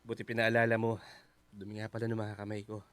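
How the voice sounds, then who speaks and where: A man's voice: fairly low pitch, monotone, fast talker, background noise, lightly acted. A man acting a helpful, modest, easygoing houseguest in a Filipino radio drama. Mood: soft, helpful.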